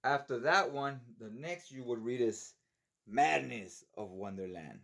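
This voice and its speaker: A man's voice: mid-range voice, animated, unhurried, vocal fry, a bit bright. A man with a mid-range voice presents a collector's guide in an off-the-cuff way. He is chatty and informal, talking straight to the viewer and often tacking a little check-in onto the end of his sentences. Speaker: comic book collector presenting a reading guide. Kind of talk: casual unscripted video overview.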